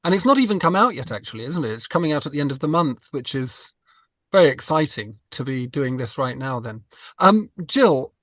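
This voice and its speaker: A man's voice: a little high, big pitch swings, brisk, a bit bright, no echo. A man hosting a podcast interview, casually feeling his way through questions and rephrasing as he goes.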